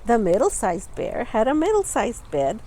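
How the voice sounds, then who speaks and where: A woman reading a children's picture book aloud, expressively and deliberately, stressing words for young listeners. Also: fairly high pitch, very animated, warm tone. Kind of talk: children's storybook read-aloud with asides about the pictures.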